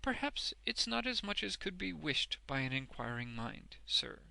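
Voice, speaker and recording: A man's voice: on the high side, big pitch swings, normal speed, gravelly, sharp tone, voice pushed up, heavily acted. A man voicing a smug, obsequious street ballad seller in a storybook, deferential yet self-important. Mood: self-satisfied.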